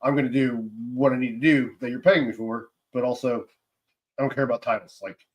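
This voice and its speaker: A man's voice: medium pitch, fairly even intonation, fairly quick, low-quality recording. Chill podcast host guy, laughs a lot, just talking casual. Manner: laid-back, laughs easily, fillers. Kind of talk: casual interview chat.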